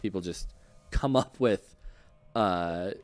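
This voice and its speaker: A man's voice: sits low, slow. A man hosting an interview in a casual livestream chat style, talking in a loose, informal, rambling way. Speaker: interview host.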